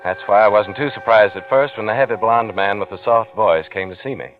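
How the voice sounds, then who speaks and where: A male actor plays a brooding American man hiding guilt in an old radio suspense drama. He is hardboiled and wry, with a lowish voice. The narrow, dated recording has faint music under it. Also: a little fast, close to an everyday voice. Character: uneasy, guilty man narrating his own crime story. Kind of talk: 1940s radio thriller acting, first-person narration.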